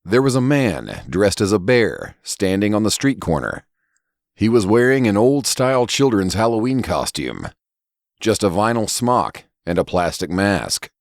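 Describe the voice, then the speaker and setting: A man reading scripted fiction as a narrator, in a deliberate, expressive storytelling manner. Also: dry recording, clean studio sound. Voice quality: low-pitched voice. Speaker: story reader and podcast narrator.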